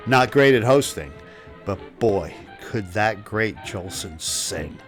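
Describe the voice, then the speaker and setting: A man's voice: fairly low pitch, animated, slow, loud music behind the voice. A man hosting a podcast, reading scripted narration and commentary. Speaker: podcast host. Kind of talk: scripted narration, commentary on old-time radio.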